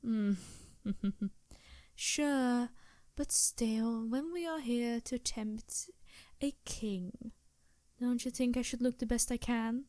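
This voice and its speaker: A woman acts out a haughty succubus with a highish voice, recorded close and dry. She draws her words out slowly, flirtatious and vain, in an intimate ASMR roleplay style. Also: steady tone, warm tone, no echo. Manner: languid, flirtatious, theatrical, sighing. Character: vain, seductive succubus demon, haughty and teasing. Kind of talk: ASMR roleplay, improvised character acting.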